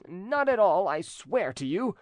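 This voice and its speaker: A male narrator plays a spurned, jealous cousin from a storybook. The voice is raised high and swings widely, insistent and theatrical, in a very dry recording. He sounds pointed and scheming. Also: big pitch swings, measured pace, no echo. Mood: insistent, bitter.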